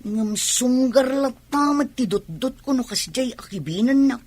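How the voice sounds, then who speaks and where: A woman's voice: sits high, lively intonation, unhurried, darkish tone. A woman playing a coy young niece who is being courted, in an Ilocano-accented radio drama. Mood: indignant.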